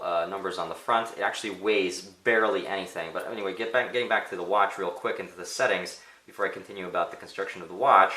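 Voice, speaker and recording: Low-voiced man reviewing gadgets in echoey room. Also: pushed and bright. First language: Polish. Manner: conversational, thinking aloud as they go. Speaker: product reviewer. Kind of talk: casual unscripted gadget demonstration. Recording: roomy, echoing indoor sound.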